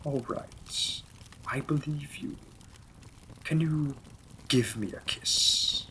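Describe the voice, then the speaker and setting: A man playing a possessive demon king and devoted lover in a romantic fantasy audio roleplay, often dropping his breathy voice to a whisper. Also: on the high side, drawn-out words, bright, forceful tone, a little hiss or hum, exaggerated voice, low-quality recording. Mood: tender, concerned.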